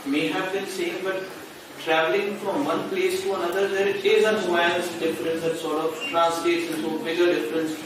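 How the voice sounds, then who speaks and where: A man raising questions in an academic discussion, speaking deliberately with frequent filler sounds. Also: high-pitched, flat delivery. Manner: deliberate, many filler uhs. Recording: echoey room, far from mic, noisy.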